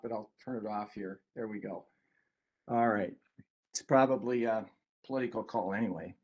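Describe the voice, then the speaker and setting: A man with a mid-pitched voice co-presents an informal webinar. He talks as an author addressing an online group.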